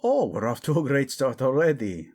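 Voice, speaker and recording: A man's voice: mid-range voice, huge pitch swings, normal speed, a little mellow, husky. A man playing a proud, brooding young prince in an audio drama, curt and sardonic, with a Spanish accent. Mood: exasperated. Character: brooding, proud young prince, defensive about his dead brother.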